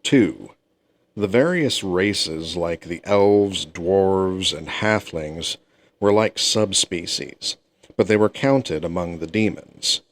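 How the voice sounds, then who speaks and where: Man, very low voice, slow and measured, reading a novel aloud. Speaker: audiobook reader.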